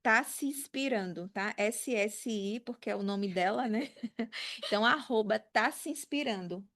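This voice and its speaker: A woman's voice: medium pitch, measured pace, a bit bright. A woman with a Brazilian accent hosting a podcast in chatty, conversational talk, often checking in with short tag questions. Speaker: podcast host.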